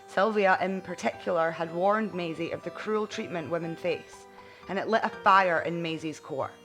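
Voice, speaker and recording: A woman's voice: on the low side, normal speed, a bit bright. Woman narrating a story over music. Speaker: audiobook narrator. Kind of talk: expressive bedtime story narration. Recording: music behind the voice.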